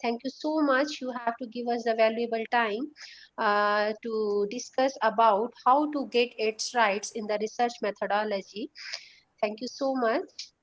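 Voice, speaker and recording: A high-voiced woman hosts an online workshop over a video call. She reads a formal introduction of the guest at a steady pace. She makes small slips along the way. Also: measured pace, poor audio. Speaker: workshop host. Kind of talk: reading a speaker introduction.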